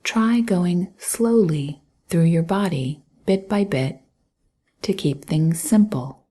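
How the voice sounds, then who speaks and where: A woman guiding a mindfulness meditation in a soft, breathy voice, gently and calmly, with long pauses between instructions. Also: on the low side. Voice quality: soft, breathy, often near a whisper. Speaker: meditation guide. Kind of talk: guided mindfulness meditation, scripted narration.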